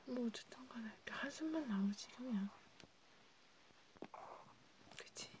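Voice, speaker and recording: A woman doing a chatty, intimate ASMR role play in a soft whisper, close to the mic. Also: a little high, moderate pace. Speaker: ASMR creator. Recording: clear background noise with clicks.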